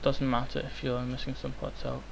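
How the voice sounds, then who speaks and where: A young man, hoarse and croaky from illness, rambling with many ums through a software tutorial. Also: medium pitch, little pitch movement, moderate pace. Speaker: tutorial maker. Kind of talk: screen-recorded software tutorial. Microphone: cheap microphone. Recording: faint hiss, cheap mic.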